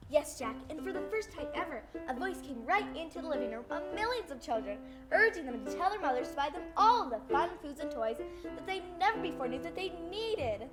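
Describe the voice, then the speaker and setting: A woman's voice: high-pitched, very animated, brisk, loud music behind the voice. A young teenage girl playing a theatrical, earnest co-host in a scripted old-time radio show. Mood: bright, announcing.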